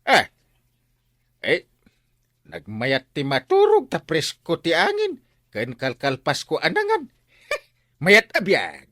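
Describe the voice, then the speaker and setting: A man's voice: a little high, wildly swooping pitch, slow pace, pauses often, bright, forceful tone, no room sound, studio quality, heavily acted. A man acting a lazy, sleepy, grumbling village captain in a radio comedy drama, with an Ilocano accent.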